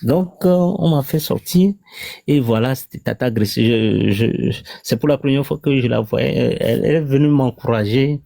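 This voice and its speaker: A man giving a halting, hesitant personal testimony as a guest on a live panel. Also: mid-range voice, vocal fry, warm tone, no room sound, studio quality.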